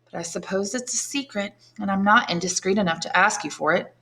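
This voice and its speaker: Woman reading a high-strung noblewoman, eager and probing, lifted pitch, slow and deliberate. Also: sharp tone. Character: curious, flattering aristocratic lady, mother, high-strung.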